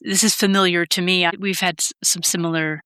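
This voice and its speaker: Woman, podcast host, smooth resonant voice, bright tone, attentive interviewer. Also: on the low side, little pitch movement, fairly quick, no room sound.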